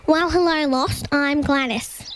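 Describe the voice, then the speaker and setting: A woman's voice: sits high, fairly even intonation, takes it slowly, heavy background noise, voice pushed up. A woman voicing a kindly but bossy female emu with a folksy, forthright manner in a children's audio story. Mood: brisk, decisive.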